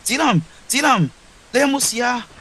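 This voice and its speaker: High-voiced lovesick schoolboy, acted by a man. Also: expressive, normal speed, in a large hall, background noise. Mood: alarmed. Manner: earnest, emotional delivery. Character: lovesick, torn teenage schoolboy who has travelled back in time. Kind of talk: Cantonese audio drama acting.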